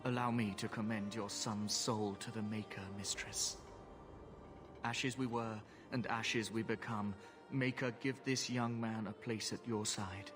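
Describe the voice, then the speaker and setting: An actor voicing a dutiful templar knight, speaking in a solemn, formal, prayerful way. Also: male voice, fairly low pitch, fairly even intonation, unhurried, music in the background, lightly acted.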